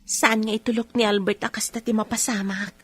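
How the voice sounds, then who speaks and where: Woman acting as husky, lovelorn young mother. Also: fairly high pitch, little pitch movement, brisk, fairly bright tone. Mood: wistful, longing. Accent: Ilocano. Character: lovelorn young mother longing for lover and daughter. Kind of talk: Ilocano radio drama acting.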